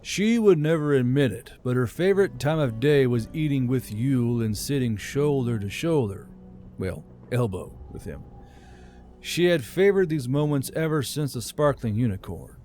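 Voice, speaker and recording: A man's voice: medium pitch, expressive, moderate pace, a little mellow. A man narrating a fantasy story as an audiobook, as the host of his own channel. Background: background music.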